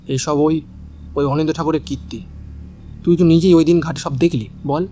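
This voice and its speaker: Man as mellow, suspicious young confidant. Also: a little high, fairly even intonation, a little fast, natural voice. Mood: secretive. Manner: conspiratorial. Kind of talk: Bengali audio drama acting. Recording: faint ambient background noise.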